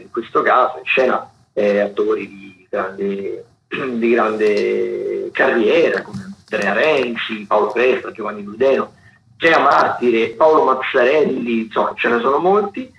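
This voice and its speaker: A man hosting a radio show, talking through theatre listings in a halting, unscripted way. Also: on the low side, fairly bright tone. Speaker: radio host. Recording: dull, lo-fi radio sound with clear noise.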